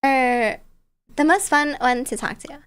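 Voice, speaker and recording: A woman with a high voice chats casually on a livestream, telling stories as she goes. Her delivery is bubbly and playful, with a cutesy touch. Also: measured pace, clean tone. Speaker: streamer. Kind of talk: casual storytelling chat on a livestream.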